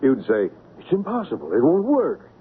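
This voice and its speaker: An actor playing a cocky, persuasive swindler who coaxes and builds up to reveals in an old-time radio drama. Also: male voice, medium pitch, wildly swooping pitch, moderate pace, phone-quality sound. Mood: cocky, coaxing. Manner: theatrical, coaxing, builds up to reveals. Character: cocky, persuasive male swindler masterminding a plot. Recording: band-limited, noisy radio drama recording.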